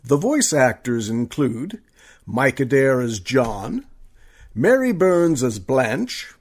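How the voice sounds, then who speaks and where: A man's voice: medium pitch, very animated, takes it slowly, breathy edge. A man reading scripted credits and announcements as a radio announcer.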